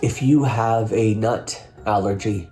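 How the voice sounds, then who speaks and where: A man giving a theatrical, measured dramatic reading of a written review. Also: on the low side, warm tone. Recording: faint music under the reading.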